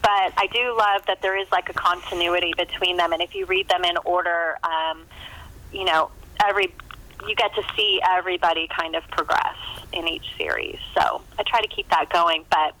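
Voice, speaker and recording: A woman's voice: lowish voice, expressive, moderate pace, sharp tone, slight background noise. A middle-aged American woman chatting casually and enthusiastically as a novelist in an interview, in a rambling way over a phone line.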